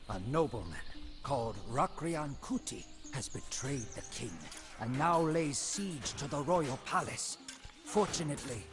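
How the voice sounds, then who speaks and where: An actor playing a proud, devoted commander of a royal guard, narrating a game's storybook in a solemn, deliberate manner. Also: male voice, medium pitch. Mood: grave, urgent. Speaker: narrator of a game campaign story. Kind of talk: scripted storybook narration in character. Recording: music behind the voice.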